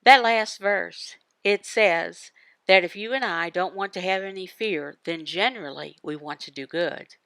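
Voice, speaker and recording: A woman giving a devotional Bible teaching in emphatic, halting phrases, asking questions and answering them. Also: lowish voice, creaky, a bit bright, dry recording. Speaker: voice interpreter for a signed devotional. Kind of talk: devotional Bible teaching, voiced from sign language.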